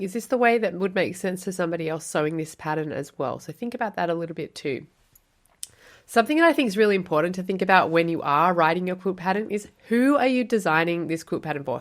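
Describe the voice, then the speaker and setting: An Australian woman hosting a podcast, explaining quilt pattern writing in a chatty, encouraging way with lots of rhetorical questions. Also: on the low side. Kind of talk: solo podcast teaching.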